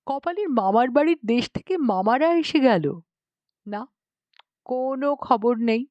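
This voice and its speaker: A woman's voice: sits high, animated, measured pace, darkish tone, dry recording, dull audio. A woman narrating a story expressively, with a dramatic storytelling manner. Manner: dramatic storytelling with acted dialogue. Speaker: audiobook narrator.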